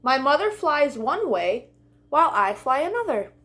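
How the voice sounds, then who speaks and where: A woman's voice: sits high, very animated, moderate pace, fairly bright tone, voice pushed up. A woman voicing a carefree, independent young male butterfly in a children's storybook, breezy and self-assured.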